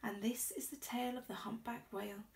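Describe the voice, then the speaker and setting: A young woman reading a rhyming children's picture book aloud expressively, with measured, careful storytelling. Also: a little high, steady tone, recorded from a distance. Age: young adult. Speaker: storybook reader. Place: small indoor room. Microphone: camera a short distance away.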